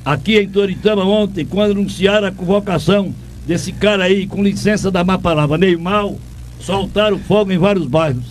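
A man's voice: high voice, moderate pace, slight background noise. An older man with a Pernambuco accent, a radio host and former sports reporter, ranting with indignant emphasis and lots of repetition. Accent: Northeastern Brazilian, Pernambuco. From Pernambuco, Brazil. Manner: indignant, emphatic, repeats himself. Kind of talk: ranting commentary.

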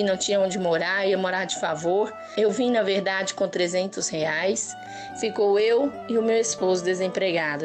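Female, Brazilian accent, mid-range voice. Conversational faith testimony told as a story, instrumental music behind. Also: medium pitch. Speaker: church member giving testimony. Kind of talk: personal religious testimony, storytelling.

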